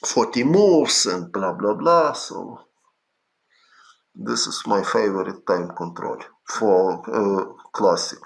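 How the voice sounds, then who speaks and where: A middle-aged man from Ukraine with a Ukrainian accent, chatting casually on a chess stream in halting English with many fillers. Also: on the low side, lively intonation, vocal fry. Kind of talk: casual livestream chat answering viewers.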